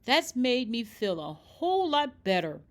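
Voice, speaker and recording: A woman voicing a dejected, tender-hearted male orangutan in a children's storybook, with drawn-out, emotional delivery. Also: fairly high pitch, very animated. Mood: relieved, grateful. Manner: wailing, drawn-out. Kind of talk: children's storybook read-aloud.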